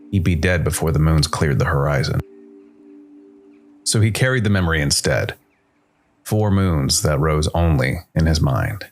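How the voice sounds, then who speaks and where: A man reading scripted science fiction noir narration as a storyteller. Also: extremely low pitch. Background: faint music.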